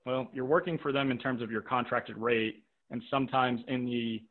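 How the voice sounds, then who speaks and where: A man leads a live video-call workshop, speaking at an ordinary pitch in a direct, practical way and circling back to repeat his key points. His voice sounds tired from a full day of talking. The audio is narrow and tinny, like a phone line. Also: fairly even intonation, normal speed. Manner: checks in with rhetorical "right?" and "okay?". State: voice tiring from talking all day. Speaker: private practice coach running a webinar. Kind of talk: live webinar teaching with audience questions. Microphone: video call microphone.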